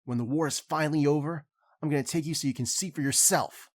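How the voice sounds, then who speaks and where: A man voicing a young alien prince who talks in a cheerful, casual, excitable way. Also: on the high side, quick pace, dry recording, clean studio sound, natural voice.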